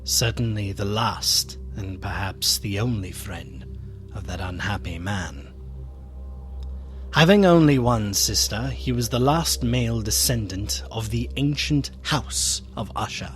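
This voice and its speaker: Slow, solemn gothic gentleman narrator. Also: on the low side, animated, faint music behind. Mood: wistful. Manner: literary, solemn, theatrical. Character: melancholy, fearful gentleman narrator, loyal old friend. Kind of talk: dramatic gothic radio narration.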